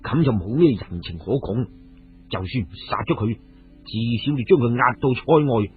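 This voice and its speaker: A man's voice: mid-range voice, expressive, moderate pace, voice pushed down, natural voice. A man voicing a shrewd, resolute hero and clan leader in a radio story, cool and decisive. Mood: cold resolve. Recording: phone-like, muffled audio with music.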